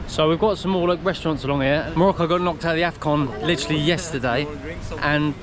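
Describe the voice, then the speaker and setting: A man's voice: fairly high pitch, fast talker, a crowd in the background. A man in his thirties with a British accent, casually chatting as a travel vlogger, laid-back and joking. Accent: British English. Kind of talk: casual vlog chat. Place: busy shopping mall and seafront outdoors. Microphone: handheld action camera at arm's length. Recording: loud street and traffic noise.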